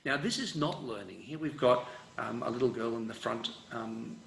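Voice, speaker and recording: Middle-aged Australian man, mid-pitched, casual lecturing professor, echoey room sound. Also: normal speed, creaky, a little hiss or hum. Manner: relaxed, rambling lecture with many fillers and restarts. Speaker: education professor. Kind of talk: online conference presentation.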